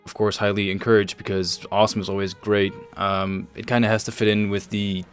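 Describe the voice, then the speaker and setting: A man hosting a developer livestream, explaining things casually in a measured, halting way. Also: fairly low pitch, little pitch movement. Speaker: host and project lead on a developer livestream. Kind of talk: casual show-and-tell commentary over game screenshots. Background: faint music.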